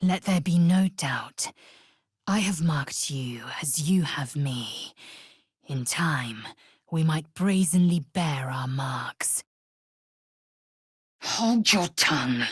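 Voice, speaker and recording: A woman playing a proud, stern githyanki warrior in a fantasy video game, with a husky rasp and clipped, imperious, formal delivery. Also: low voice, slow, long pauses, fairly bright tone, no echo, clean studio sound, exaggerated voice. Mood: low, seductive.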